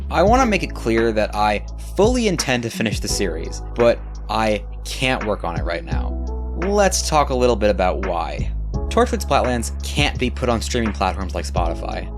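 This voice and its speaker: A man who is sick talks through an update on his channel in a casual, candid, self-deprecating way. His voice sits on the low side, and he stumbles and restarts when he goes off script. A music bed plays clearly underneath. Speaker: channel creator.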